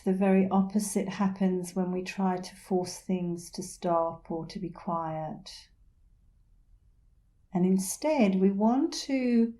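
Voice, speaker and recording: Slow, mellow woman guiding meditation. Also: mid-range voice. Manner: soothing, unhurried, gentle pauses. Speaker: meditation instructor. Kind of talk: guided meditation.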